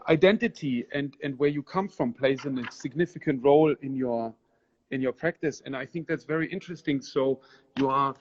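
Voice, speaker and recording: Male gallery host, mid-pitched, muffled lo-fi stream sound, rambling questions. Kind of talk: live interview.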